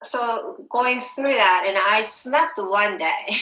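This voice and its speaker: A woman from Japan, hosting an interview and speaking candidly with halting phrasing. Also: medium pitch, bright, forceful tone, slight background noise. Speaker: summit host. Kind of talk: interview conversation. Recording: echoey room.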